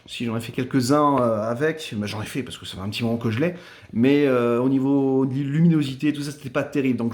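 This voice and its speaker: A man talks casually as a radio amateur, explaining things in a hesitant way. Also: mid-range voice. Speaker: amateur radio operator and video maker. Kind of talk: casual ham radio conversation.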